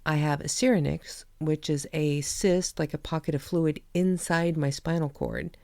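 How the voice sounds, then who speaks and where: A woman's voice: sits low, steady tone, a little slow, creaky, a little mellow, no echo, studio quality. A woman hosting her own podcast, talking candidly in a chatty way and laughing at herself. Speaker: podcast host and author. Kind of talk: personal podcast monologue.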